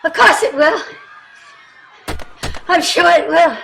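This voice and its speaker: Slow, restrained wealthy wife, acted by a woman. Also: very high-pitched, vocal fry, pushed and bright, exaggerated voice. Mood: quietly bitter. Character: wealthy, restrained, quietly bitter wife named Betsy. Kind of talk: staged play reading over video call.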